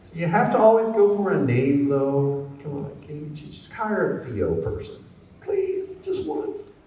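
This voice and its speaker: A middle-aged man, a voice actor and former radio DJ, telling stories in a chatty, animated way at a convention panel. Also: on the high side, unhurried, muffled recording, a little hiss or hum. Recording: very echoey hall from far off.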